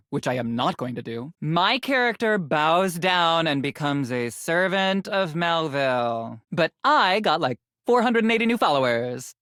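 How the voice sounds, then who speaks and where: A woman's voice: deep voice, sing-song, a little slow, a bit bright, no echo, clean studio sound, full character voice. A woman voicing a vain, snarky young female influencer character in a video game, self-absorbed and full of social media speak. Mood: bratty, sarcastic. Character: vain sassy young female influencer lamp, fame-obsessed.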